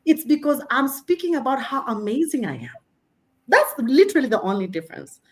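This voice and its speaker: Kenyan woman coach, punchy and animated, kinda high voice, loves to hype people up. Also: a little fast. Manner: emphatic, animated, preaching self-promotion with humor. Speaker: career coach. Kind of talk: panel talk on careers.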